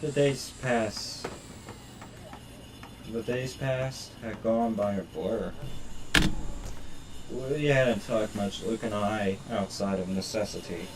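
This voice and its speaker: Low voiced guy reading game text on stream, stumbles and swears, sounds away from the mic. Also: slow pace, pauses often, background noise. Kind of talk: live playthrough, reading story text aloud with crude commentary. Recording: distant mic, game music behind. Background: game music.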